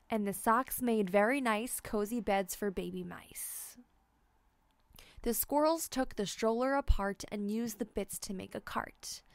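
A woman narrates a children's storybook in a smooth, fairly high voice. She reads at a very unhurried pace, and the recording is dry and close. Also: no echo. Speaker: storybook reader. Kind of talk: scripted children's read-aloud narration.

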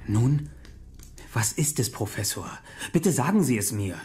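A man's voice: medium pitch, big pitch swings, unhurried. A man acting an earnest, anxious young doctor in an audio drama. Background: loud music.

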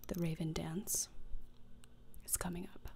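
A young woman playing a gloomy, morbid gothic teenage schoolgirl in a whispered ASMR roleplay, deadpan, deliberate and disdainful, close to the microphone. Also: fairly low pitch, gravelly, background noise. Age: young adult. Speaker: ASMR creator. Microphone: close desktop microphone, seen.

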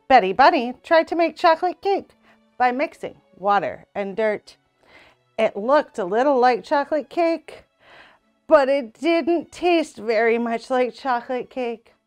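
A woman's voice: a little high, animated, a little slow, smooth, faint music behind. A young woman reading a children's storybook aloud as a narrator. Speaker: EMT reading a children's book. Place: small indoor room.

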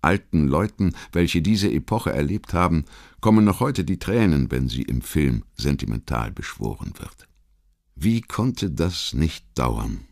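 A man with an extremely low voice reads a scripted history documentary. His delivery is measured and literary, shaped like a rhetorical essay read aloud for an audiobook. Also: lively intonation, vocal fry, a little mellow. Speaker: audiobook narrator.

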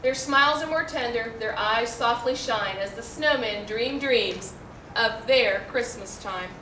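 A middle-aged American woman with a high, bright voice reads a children's storybook aloud, articulating carefully and expressively. She is recorded at a distance in an echoey room. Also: a little slow. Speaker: librarian reading a picture book aloud. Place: indoor room, seated before a backdrop. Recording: roomy, distant mic, faint noise.

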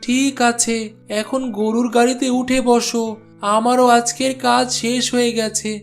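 High flat-voiced helpful village buddy, man doing him, cartoon. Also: a little slow, vocal fry, soft background music, exaggerated voice. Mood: kindly. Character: kindly helpful male village porter friend.

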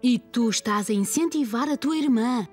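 A woman acting as a young cartoon mother with a fairly high voice. She has a European Portuguese accent and explains things gently but firmly, with music behind her. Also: normal speed, close to an everyday voice. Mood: gently scolding. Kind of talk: children's cartoon dub.

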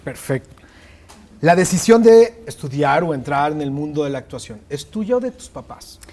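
A man's voice: on the high side, animated, moderate pace, a little mellow. A man hosting a studio interview show, asking short questions and adding brief backchannel replies. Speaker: host. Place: television studio set.

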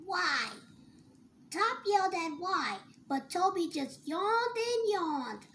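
A child with a very high, lively voice reads a picture book aloud at a slow pace. The reading is sing-song, on an amateur recording with slight background noise.

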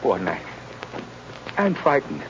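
A man's voice: fairly low pitch, huge pitch swings, unhurried. An actor playing a desperate young condemned man in old radio drama, faltering and fearful. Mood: terrified. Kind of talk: 1930s radio acting. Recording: muffled, noisy old radio recording.

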